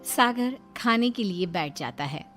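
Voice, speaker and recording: A woman's voice: medium pitch, lively intonation, measured pace, a bit bright, faint music behind. A woman giving scripted storytelling narration as the narrator of a moral tale.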